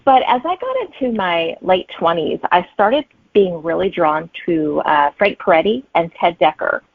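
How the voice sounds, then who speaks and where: A woman's voice: fairly low pitch, lively intonation, moderate pace, slightly rough, no echo. A middle-aged woman guest chatting in an interview, telling stories and voicing her own thoughts as she goes. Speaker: guest, romantic suspense author. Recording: thin band-limited phone-like line.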